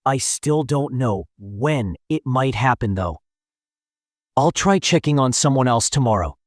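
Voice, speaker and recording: A man's voice: medium pitch, animated, measured pace, no room sound, studio quality. A man playing an awkward, earnest teenage schoolboy who muses to himself and gets flustered easily, in an anime-style dubbed story. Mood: pensive. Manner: hesitant, musing to himself.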